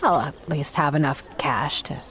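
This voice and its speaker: An actress playing a wry, defiant treasure-hunting adventuress in a 1930s-set audio drama, talking in a dry, sarcastic way. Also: female voice, low voice, normal speed, fairly bright tone, slight background noise. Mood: bitter sarcasm.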